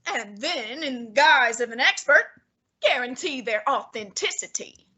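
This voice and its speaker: A woman playing a fussy, imperious, heavyset male detective in a student radio drama, speaking in a haughty, deliberate, commanding way with a breathy tone. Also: high-pitched, expressive, bright, forceful tone, heavily acted, poor audio. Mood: interrogating.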